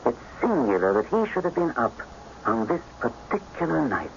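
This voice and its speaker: A man playing a polite, methodical detective who asks measured, probing questions in a radio drama. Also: medium pitch, very animated, gravelly. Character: shrewd English gentleman detective, polite, coolly methodical. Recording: muffled radio drama recording, faint noise.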